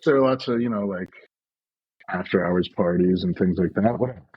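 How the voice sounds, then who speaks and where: A man's voice: low voice, a little fast, slightly rough, muffled recording. A man in his forties telling a long, rambling story in casual chat, with lots of fillers and restarts. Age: 40s. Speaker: podcast guest.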